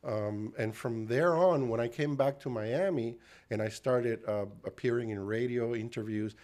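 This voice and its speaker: A man's voice: medium pitch, a little mellow. A middle-aged man from Cuba with a Cuban and Puerto Rican accent, talking in a casual interview and stopping often to restart with fillers. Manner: halting, with frequent fillers and restarts. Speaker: guest.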